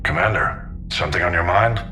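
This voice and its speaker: Man playing a lowish-voiced stern older admiral. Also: fairly quick, music in the background, natural voice. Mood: grave, encouraging. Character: stern, plainspoken older male fleet admiral, mentoring. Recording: clear background ambient noise.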